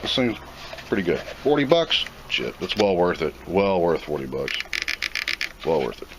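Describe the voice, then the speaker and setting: A man's voice: deep voice, big pitch swings, a little slow, very noisy. A plainspoken man giving an off-the-cuff, casual commentary as he reviews and unboxes a product.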